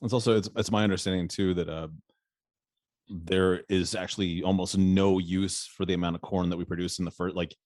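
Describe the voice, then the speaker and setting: A man's voice: deep voice, flat delivery, brisk, a little mellow, no echo, clean studio sound. A man hosting a podcast, talking in a loose, rambling way and laughing often. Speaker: podcast host. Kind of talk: casual interview chat.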